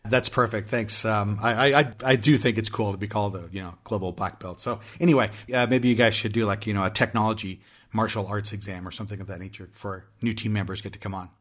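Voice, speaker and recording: A man's voice: on the low side, steady tone, fast, a bit bright. Chatty male podcast host. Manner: chatty, hesitant, many restarts. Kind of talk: podcast interview.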